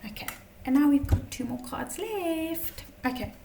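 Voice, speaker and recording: A woman teaching a tarot lesson in a chatty, casual way, her voice slightly croaky from a long day of talking, often checking in with "okay?". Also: high voice, animated, unhurried, warm tone, far from the mic, slight background noise. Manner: chatty, many fillers and "okay?" check-ins.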